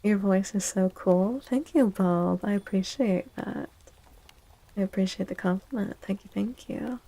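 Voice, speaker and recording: A woman speaks in a soft ASMR style, unhurried and low in pitch, with a creaky edge to her hushed voice. She often drops to a near-whisper as she chats to her stream. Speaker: ASMR streamer, tarot reader. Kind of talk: soft-spoken ASMR live chat.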